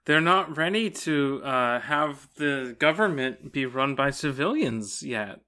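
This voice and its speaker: A man co-hosting a podcast in a relaxed book chat, chipping in with short, wry remarks. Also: medium pitch, slow. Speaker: podcast co-host. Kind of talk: casual book discussion.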